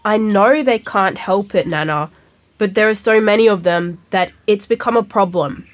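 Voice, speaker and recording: A woman's voice: medium pitch, moderate pace, natural voice. A woman playing a plain-spoken, insistent schoolgirl granddaughter in a naturalistic audio drama. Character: stubborn, earnest Australian schoolgirl granddaughter.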